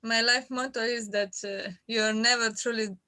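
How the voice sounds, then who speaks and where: A young woman from Slovenia, a guest athlete chatting in an interview, hesitant with many fillers and quick to laugh. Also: on the high side, fairly even intonation, sharp tone. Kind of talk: casual interview chat.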